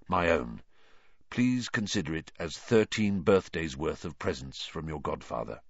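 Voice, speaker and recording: A fugitive wizard godfather, as a man puts him on, earnest and measured. The voice sits low and moves lively through its phrases. The audiobook recording is dull and lo-fi, with a dry, close sound. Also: breathy edge, dry recording, full character voice.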